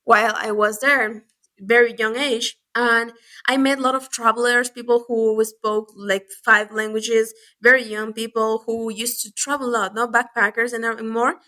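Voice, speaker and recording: Bright-voiced woman. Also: fairly high pitch, normal speed. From Galapagos Islands, Ecuador. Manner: lively, many restarts and fillers. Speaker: guest. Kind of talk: casual interview talk.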